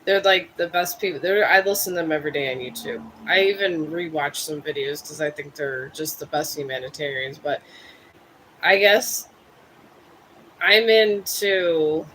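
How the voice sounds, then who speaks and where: A man in his fifties from Ohio, chatting casually with viewers on a live stream in a rambling, musing, self-deprecating way, a bit high. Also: moderate pace, pushed and bright, slight background noise, rough recording. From Cleveland, Ohio. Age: 50s.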